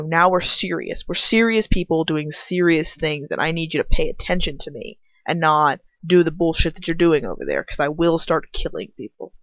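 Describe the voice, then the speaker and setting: Animated, sweary woman in a roomy space. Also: lowish voice. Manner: sweary, informal, opinionated, slangy. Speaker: amateur fan fiction reader. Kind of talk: rambling casual commentary while reading aloud. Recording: roomy, slightly dull home recording.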